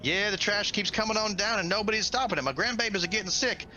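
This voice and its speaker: A man's voice: high voice, quick pace, pushed and bright, soft background music, pitched above the performer's own voice. A man voicing a cheerful old swamp lizard grandpa with a folksy Southern accent, in a tabletop game. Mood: earnest, folksy. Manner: folksy, neighborly drawl, eager.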